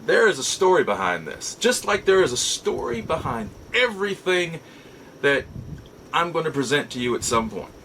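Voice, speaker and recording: A man with a fairly high, very animated voice hosts a casual vlog. He talks in a playful, theatrical way, stretching words out for emphasis. The delivery is bright and forceful, over faint background noise. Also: normal speed, rough recording. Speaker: host of a nostalgia collectibles show. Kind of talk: casual scripted-feel vlog monologue.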